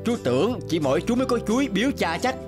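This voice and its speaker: An actor playing a scheming, pompous elder brother in an animated folk tale, blustering and muttering slyly over music. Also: male voice, high-pitched, fast talker, big-room sound. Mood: smug, scheming. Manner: blustering, sly, muttering to himself. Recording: music bed behind the voice.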